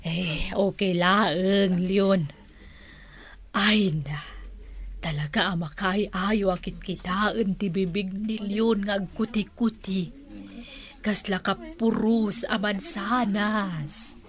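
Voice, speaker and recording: A woman's voice: mid-range voice, steady tone, slow, close to an everyday voice. A woman playing a smitten, hot-tempered auntie in an Ilocano radio comedy drama, exclamatory and theatrical. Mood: lovestruck.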